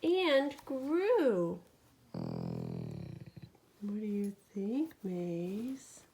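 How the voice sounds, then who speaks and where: Slow, expressive woman reading a bedtime story. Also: mid-range voice, vocal fry. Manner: slow, dramatic storytelling with questions to the listener. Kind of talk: expressive read-aloud of a children's picture book.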